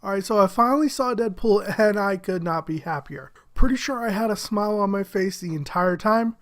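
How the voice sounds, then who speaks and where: A higher-pitched man talks through a casual movie review with plain enthusiasm. His voice sounds a little stuffy and muffled from a head cold, and he chuckles here and there. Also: lively intonation, a little fast. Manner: upbeat, enthusiastic, chuckles often.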